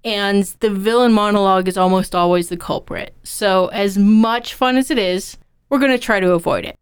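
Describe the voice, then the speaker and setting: A woman's voice: medium pitch, moderate pace, clean tone. A woman co-hosting a podcast, discussing and explaining in a conversational style. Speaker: podcast co-host.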